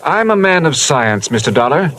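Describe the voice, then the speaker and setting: An actor playing a formal, earnest doctor in a 1950s radio drama. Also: male voice, fairly high pitch, sing-song, normal speed, fairly bright tone. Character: proper, educated male doctor. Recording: old radio broadcast with hiss.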